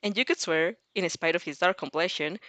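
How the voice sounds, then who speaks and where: A woman narrating fan fiction aloud with casual reactions, expressive, informal and giggly. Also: fairly low pitch, brisk, no echo.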